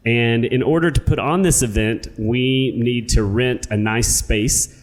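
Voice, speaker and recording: Playful American man explaining clearly. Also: mid-range voice, measured pace. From United States. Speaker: co-host, English teacher. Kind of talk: casual podcast chat. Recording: reverberant room.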